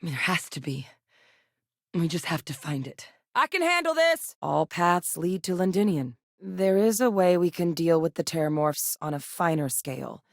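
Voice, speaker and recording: A no-nonsense military scientist with a lowish, level voice, brisk and composed in a dry, matter-of-fact manner. The sound is close and completely dry, with no room around it. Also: female voice, lively intonation, dry recording. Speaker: game character voice lines. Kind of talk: video game dialogue.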